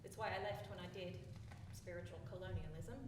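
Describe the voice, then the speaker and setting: A woman's voice: lowish voice, brisk, lightly acted. A woman acting an earnest, chatty devotee in a stage play, giving matter-of-fact explanations and instructions. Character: earnest, chatty female devotee, Moonseed, showing a newcomer around. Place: indoor theatre stage. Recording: distant mic, very echoey noisy theatre.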